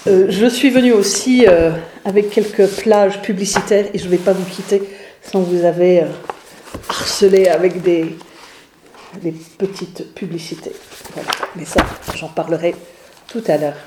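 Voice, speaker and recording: Middle-aged English-accented woman pastor, hesitant talk, lowish voice, roomy echo. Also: fairly even intonation, unhurried, noisy background. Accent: English, with some Swiss. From England. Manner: hesitant, many fillers and restarts. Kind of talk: informal lecture to a group.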